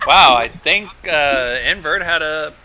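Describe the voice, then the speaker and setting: A man's voice: fairly high pitch, slow pace, dull audio. A man in a casual chat as co-host of a recording session. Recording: noticeable background noise.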